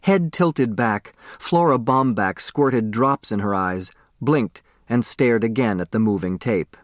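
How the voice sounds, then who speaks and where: Man, audiobook narrator, expressive storytelling, very dry recording. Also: mid-range voice, takes it slowly, dry recording. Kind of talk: expressive scripted narration of a children's novel.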